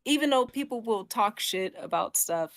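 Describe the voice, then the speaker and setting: A woman co-hosting a podcast, chatting casually and giving her opinions in an animated, emphatic way. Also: fairly high pitch, moderate pace. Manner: animated, emphatic, mimics others in quoted asides. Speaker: podcast co-host.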